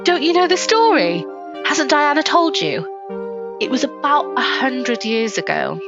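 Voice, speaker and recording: Woman as higher-voiced eerie storyteller, dull sound. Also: big pitch swings, measured pace, heavily acted. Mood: eerie, insistent. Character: woman eagerly telling an eerie old house legend. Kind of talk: audio drama trailer acting. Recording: dull sound under music. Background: music.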